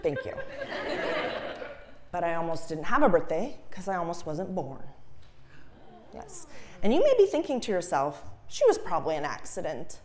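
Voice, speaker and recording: Lively, laughing stand-up comedian woman. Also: fairly low pitch, normal speed, long pauses. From Ukraine. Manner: chatty, self-mocking, laughs at her own jokes. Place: comedy stage before a live audience.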